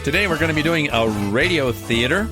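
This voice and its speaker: A man's voice: fairly high pitch, lively intonation, quick pace, music in the background. A man hosting a comedy radio show with jokey, scripted banter.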